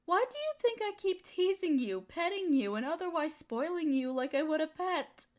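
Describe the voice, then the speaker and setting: High-voiced doting girlfriend, acted by a woman. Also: very animated, moderate pace, darkish tone. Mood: reassuring. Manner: cooing, soothing pet-talk. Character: affectionate, teasing, nurturing girlfriend of a fox spirit. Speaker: audio roleplay voice performer. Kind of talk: intimate comfort roleplay monologue.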